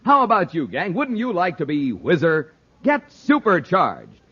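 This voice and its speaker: A male announcer delivers scripted copy in vintage radio style. His voice is fairly high and very animated, with emphatic punch, heard through a dulled old recording. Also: measured pace, slightly rough, lo-fi sound. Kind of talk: mid-century radio announcing, scripted ad and narration.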